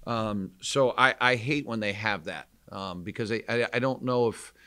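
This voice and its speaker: A man in his forties with an American accent and a slightly low voice. He answers questions as a basketball coach after a game, candid and measured, often pausing to find the words. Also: sharp tone. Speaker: college basketball head coach. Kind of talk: postgame press conference answers. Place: indoor press conference room, seated at table. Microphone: microphone on table stand.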